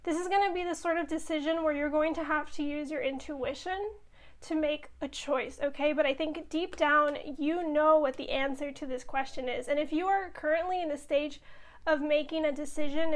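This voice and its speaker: High-voiced woman losing her voice. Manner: reassuring, addresses viewers with frequent "okay?". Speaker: tarot reader. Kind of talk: casual tarot reading to camera.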